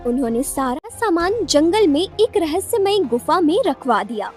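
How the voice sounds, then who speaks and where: Lady narrating a kids story, high voice, really lively up and down, faint music behind. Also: a little slow. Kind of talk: scripted children's story narration.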